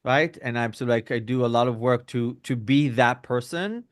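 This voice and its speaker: A middle-aged man from Belgium and Israel speaks as a guest rabbi in an interview, at an everyday pitch. He hedges constantly with fillers and tag questions as he explains himself. The recording is dry and close. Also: moderate pace, no echo. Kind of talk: conversational interview answers.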